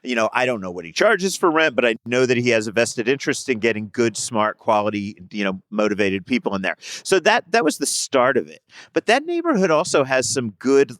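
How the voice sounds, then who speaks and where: A man of middle pitch talks as a podcast guest, enthusiastic and a little rambling. The sound is dry and close. He chats freely about food and neighborhoods. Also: sing-song, a little fast, a bit bright, dry recording. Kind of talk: casual interview chat.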